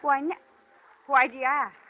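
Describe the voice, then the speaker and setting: A woman's voice: high voice, flat delivery, normal speed, close to an everyday voice. An actress playing an earnest, anxious woman, companion to an investigator, in an old-time radio drama. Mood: puzzled. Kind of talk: 1940s-50s radio acting. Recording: noisy, muffled old radio broadcast.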